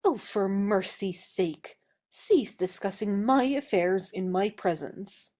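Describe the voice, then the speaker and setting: Female actor for passionate, defiant young woman in stage satire; mellow tone, swooping intonation. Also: medium pitch, lively intonation, slow. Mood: exasperated. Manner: passionate, exasperated, emphatic. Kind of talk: acted stage satire in audio.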